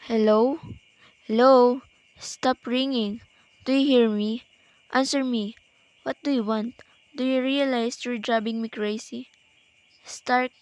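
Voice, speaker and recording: Radio play role: imperious, anxious invalid woman acted by a woman, slow and fretful, highish pitch. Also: steady tone, no echo. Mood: frantic. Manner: dramatic, fretful, indignant. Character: anxious, demanding, bedridden invalid wife, hysterical and imperious. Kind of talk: amateur radio play acting.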